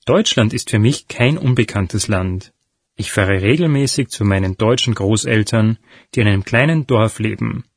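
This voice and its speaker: A young man reading a scripted part as a student in a listening exercise, calm and even. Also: fairly low pitch, breathy edge, dry recording, studio quality. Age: young adult. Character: young Dutch male student, 22.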